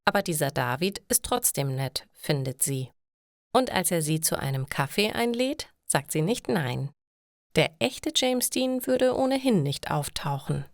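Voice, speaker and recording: Low-pitched woman narrator, dry studio sound. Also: big pitch swings, a little slow, dry recording. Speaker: narrator of a language-learning story. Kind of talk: scripted narration of a short story for German learners.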